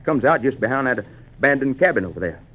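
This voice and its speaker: A man playing a dry, shrewd country fellow in a vintage radio play. He speaks matter-of-factly at a medium pitch on a thin, tinny 1940s recording. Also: animated, quick pace, a little hiss or hum, close to an everyday voice. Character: shrewd, unhurried country man, drily reasoning.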